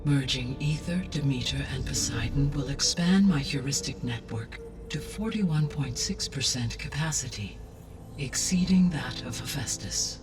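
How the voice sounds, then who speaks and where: A man voicing a calm ancient artificial intelligence in a video game cutscene, speaking in a measured, precise, clinical way. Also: mid-range voice, close to an everyday voice. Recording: game cutscene with music, distant.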